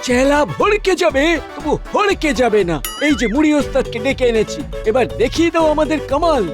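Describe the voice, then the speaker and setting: An actor voicing a squeaky, cocky crook's sidekick in a comic cartoon, boastful and excitable. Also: brisk, over music.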